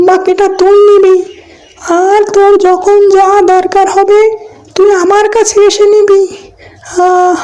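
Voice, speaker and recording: Very shrill, pompous landlord ghost. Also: female voice, poor audio. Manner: pompous, imperious, easily flattered. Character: ghost of a vain, generous old village landlord. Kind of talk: cartoon voice acting.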